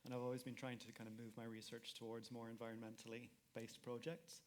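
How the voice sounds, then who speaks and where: A man's voice: medium pitch, monotone, fast talker, a little hiss or hum. A man speaking as a panelist at a live event, talking casually and hedging with "kind of". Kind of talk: panel discussion. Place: event hall with audience.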